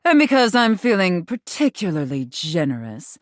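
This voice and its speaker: A woman's voice: fairly low pitch, very animated, no room sound, clean studio sound. A woman voicing a haughty, teasing dominatrix in a video game, sultry and condescending in manner. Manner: haughty, sultry, condescending, drawn-out deliberate phrasing. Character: imperious, teasing dominatrix, a living safe in human guise. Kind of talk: video game character acting.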